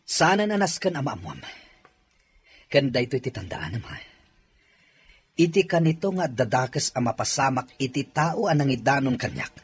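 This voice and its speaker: A man playing a stern, indignant husband in a drama, speaking in a forceful, accusing manner. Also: mid-range voice, big pitch swings, moderate pace, long pauses, slightly rough. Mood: angry, accusing. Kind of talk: Ilocano radio-style drama acting.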